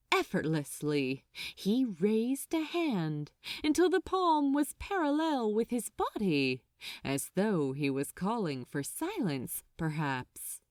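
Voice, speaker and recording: Slow, expressive woman narrator with sweeping intonation. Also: medium pitch, no room sound, clean studio sound. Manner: deliberate, expressive, suspenseful. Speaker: fan fiction narrator. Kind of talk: dramatic scripted storytelling.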